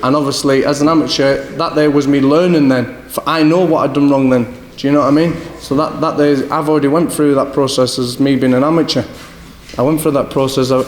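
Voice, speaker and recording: A young man from Scotland with a northeast English accent, a boxer telling rambling stories in an interview, often repeating "do you know what I mean". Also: medium pitch. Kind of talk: casual interview answers. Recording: echoey hall with faint background noise.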